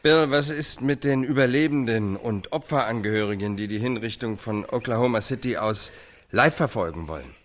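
Guy acting as a tv news reporter on live air, formal announcer talk, middle pitch, radio play. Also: normal speed, natural voice. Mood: inquiring. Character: American TV reporter presenting a live execution broadcast.

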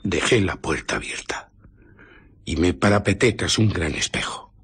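An actor playing an embittered invisible scientist in a radio drama, recounting furtive exploits with deliberate, dramatic pauses and a breathy voice that slips into whispers. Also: male voice, deep voice, lively intonation, background noise. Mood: secretive, tense. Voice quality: husky, breathy voice slipping into whispers. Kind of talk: radio drama narration and dialogue.

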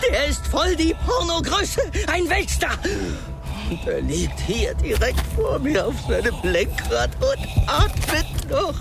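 An actor playing an agitated, stammering drug-dealing doctor who is high on drugs, in a crime comedy radio drama. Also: male voice, big pitch swings, takes it slowly, vocal fry, music in the background, heavily acted. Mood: shocked, fearful. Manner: agitated, stammering, whining exclamations. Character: panicky drugged-up male doctor and drug dealer, Simon.

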